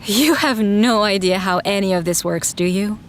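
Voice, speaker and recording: A woman's voice: medium pitch, normal speed, a little hiss or hum, close to an everyday voice. A woman playing a skeptical, dryly teasing space traveller in a sci-fi comedy audio drama. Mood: dryly unimpressed.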